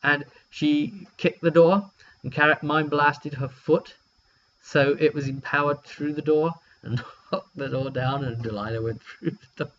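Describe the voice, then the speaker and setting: A man's voice: fairly high pitch, fairly even intonation, unhurried, fairly bright tone. British man rambling, muffled lo-fi recording. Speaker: tabletop game player recounting a session. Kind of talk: casual rambling storytelling to camera. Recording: muffled lo-fi audio with hum.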